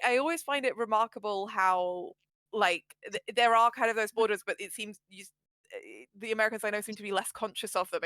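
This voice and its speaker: A woman's voice: mid-range voice, a bit bright, no echo. A woman hosting a live stream chat, asking guests questions in a thoughtful, filler-heavy way. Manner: thoughtful, asks questions, lots of filler words. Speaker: host.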